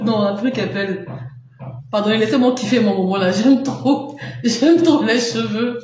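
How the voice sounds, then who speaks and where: A woman's voice: mid-range voice, darkish tone. Chatty woman in a very echoey room. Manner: chatty, laughs often, answers viewers' comments. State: runny nose. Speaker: live-stream seller. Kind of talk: casual live-stream chat. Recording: very echoey room with faint noise.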